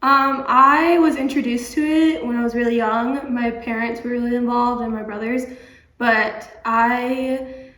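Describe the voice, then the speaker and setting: A young woman from North Carolina speaks in a fairly high, soft voice in an echoey room. She is a college student and youth leader, and she talks thoughtfully in casual interview answers. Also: fairly even intonation, a little slow. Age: young adult.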